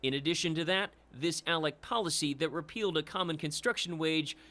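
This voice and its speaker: A man's voice: on the high side, a little fast, bright, forceful tone. American male TV news reporter. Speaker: television news investigative reporter. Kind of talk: scripted news report and questioning.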